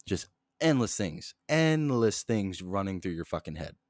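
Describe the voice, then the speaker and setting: A young man hosting a solo podcast, rambling in a flat monotone, swearing constantly and jumping between topics, close to the mic. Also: low-pitched, unhurried, dry recording. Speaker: podcast host.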